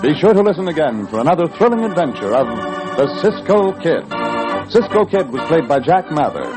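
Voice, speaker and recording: Lively male radio announcer over organ. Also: fairly high pitch, brisk, poor audio. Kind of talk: old-time radio announcing, scripted. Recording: old radio recording, organ music behind. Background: loud organ music.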